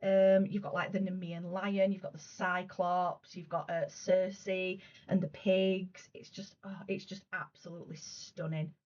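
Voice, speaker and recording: A woman in her thirties, a crafting vlogger, chatting casually and gushing about her work, her voice going a little hoarse. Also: on the low side, little pitch movement, unhurried, darkish tone. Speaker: cross stitch vlogger. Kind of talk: casual show-and-tell chat. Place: room at home. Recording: slightly dull lo-fi home recording.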